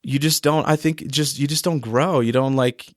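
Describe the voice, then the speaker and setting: A young man chats loosely in a podcast interview, leaning on fillers like "you know" and "man". His voice sits in a middle pitch. It is recorded close and dry. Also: fairly even intonation, moderate pace, no room sound. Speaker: musician guest. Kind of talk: casual interview chat.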